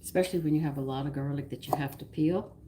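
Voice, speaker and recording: A low-pitched woman with a hoarse, fading voice talks viewers through a recipe in a chatty, homey way. She sounds like she is at home, and the clatter of dishes and cutlery in her kitchen comes through on a noisy live-stream recording. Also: fast. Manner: chatty and homey, talking through each step. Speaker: cooking show host. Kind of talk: live-streamed cooking demonstration, greeting viewers by name. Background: clinking dishes and cutlery.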